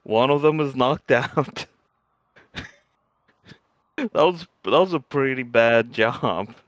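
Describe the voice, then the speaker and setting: A man giving casual, joking commentary on a game, a bit halting and repeating words as he makes his point. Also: medium pitch, lively intonation, dry recording.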